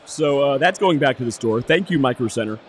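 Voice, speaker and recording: Hoarse, lively podcast co-host man. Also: mid-range voice, brisk, slight background noise. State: hoarse, voice going out. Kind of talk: casual business podcast chat. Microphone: cheap soundboard.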